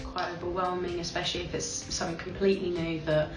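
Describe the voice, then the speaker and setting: Low-voiced woman, measured and reflective. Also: little pitch movement. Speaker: interviewee. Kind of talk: interview answers. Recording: echoey, distant mic, background music.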